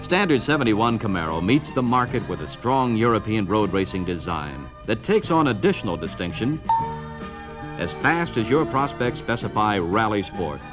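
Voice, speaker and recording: An American man narrates a vintage 1970s promotional film with a lively, swinging pitch. He delivers clipped, emphatic sales phrases over clearly audible music, as heard on an old vinyl record. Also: moderate pace. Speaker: narrator of a car dealer training film.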